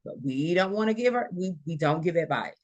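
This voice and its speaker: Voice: mid-range voice, expressive, fairly quick. A nursing instructor giving an informal live lecture to students, explaining points and illustrating them with quoted examples.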